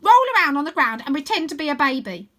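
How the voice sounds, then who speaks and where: A woman's voice: sits high, very animated, quick pace, a bit bright, voice pushed up. A woman voicing a fierce, bossy young girl chief in a children's storybook, with a commanding, curt, scornful manner.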